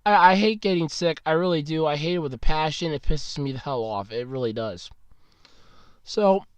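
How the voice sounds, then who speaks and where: A man's voice: a little high, animated, moderate pace, smooth, no echo. A man hosting a casual solo podcast while sick and losing his voice, talking frankly and grumbling, very close to the microphone. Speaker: podcast host. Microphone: very close mic, about two inches away.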